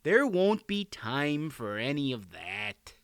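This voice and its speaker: A man voicing a mild, awkward king and husband in an audiobook, speaking in an embarrassed, halting way. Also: mid-range voice, very animated, clear, resonant tone, no echo, studio quality. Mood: earnest.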